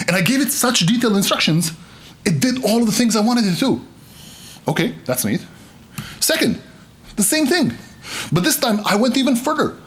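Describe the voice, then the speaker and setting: Man in his thirties, keynote speaker; voice a little high, very lively delivery, jokes with the crowd. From Serbia. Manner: animated, joking, gestures broadly. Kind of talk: conference keynote talk. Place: conference stage, indoors.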